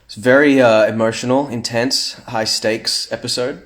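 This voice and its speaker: A man's voice: medium pitch, fairly even intonation, normal speed, fairly bright tone. A young man chatting casually on a phone livestream at home, reading fan comments aloud with playful asides.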